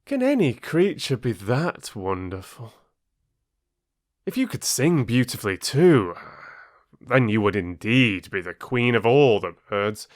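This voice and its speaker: A man doing a sly, flattering fox. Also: mid-range voice, a little slow. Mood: coaxing. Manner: smooth, wheedling flattery.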